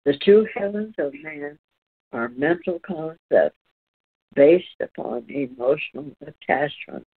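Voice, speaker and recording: A man with a slightly high voice speaks very slowly, breaking his sentences into deliberate pieces as he reads and reflects. The sound is narrow and thin, like a phone line. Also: breathy edge. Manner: halting, deliberate phrasing with frequent breaks. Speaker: presenter reading aloud and discussing. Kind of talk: measured reading aloud from a spiritual book, then discussion.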